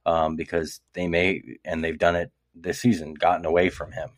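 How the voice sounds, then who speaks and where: A man co-hosting a sports podcast, talking in a relaxed, conversational way with frequent fillers. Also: deep voice, moderate pace, no echo. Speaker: podcast co-host. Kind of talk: casual sports talk.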